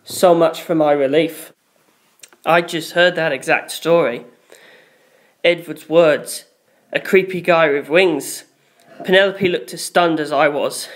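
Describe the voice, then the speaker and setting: A woman's voice: deep voice, steady tone, takes it slowly, pauses often. A woman reading a scripted first-person story as an audiobook narrator.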